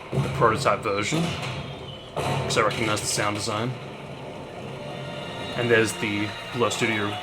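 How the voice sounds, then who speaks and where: A man hosting a gaming video, giving casual, thoughtful and explanatory reaction commentary. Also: on the low side. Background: game cutscene soundtrack.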